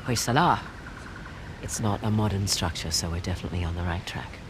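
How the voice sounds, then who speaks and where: A woman's voice: extremely low pitch, brisk. A woman playing a confident adult treasure hunter and thief in a video game, with dry, breezy, teasing banter. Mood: wry, focused. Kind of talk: naturalistic video game acting. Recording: game ambience and vehicle noise behind.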